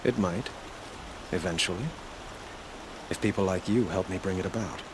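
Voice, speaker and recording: An actor playing a patient, courtly young king with dry wit in a video game, speaking in a formal, eloquent, deliberate way. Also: low voice, noisy background, natural voice. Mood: wry, gracious. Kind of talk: video game cutscene acting.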